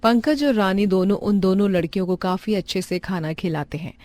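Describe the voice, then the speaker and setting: A woman's voice: medium pitch, brisk, a little mellow. A woman narrating a scripted bedtime moral story as storyteller.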